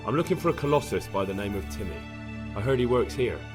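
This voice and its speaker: A man plays a determined young retainer in a video game. His voice is fairly low with lively inflection, and he questions people directly. Music is clearly heard behind him. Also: quick pace, close to an everyday voice. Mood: businesslike inquiry. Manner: direct, probing. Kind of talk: video game cutscene acting.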